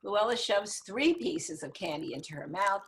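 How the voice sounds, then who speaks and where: A woman's voice: mid-range voice, very animated, moderate pace, creaky, a bit bright, slight background noise. A woman narrating stage directions from a script at a table reading.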